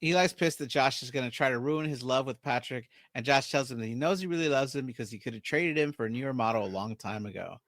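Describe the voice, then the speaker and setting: Male podcast host, mid pitch, dry close sound, easygoing plot recap. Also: quick pace, hardly any pauses, a bit bright, no room sound. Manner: recounts plot scene by scene, laughs often. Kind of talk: casual movie recap chat.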